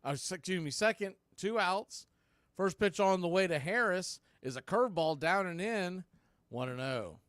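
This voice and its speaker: A man doing live baseball play-by-play on radio, speaking in clipped phrases with pauses between calls. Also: high-pitched, animated, fairly bright tone, no room sound, studio quality.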